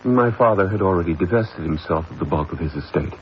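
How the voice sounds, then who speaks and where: An actor playing an evasive, haughty company heir in a halting manner, in an old-time radio drama style. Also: male voice, low-pitched, animated. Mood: guarded. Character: evasive, smug young company heir, accusing his stepmother. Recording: muffled old radio recording, faint noise.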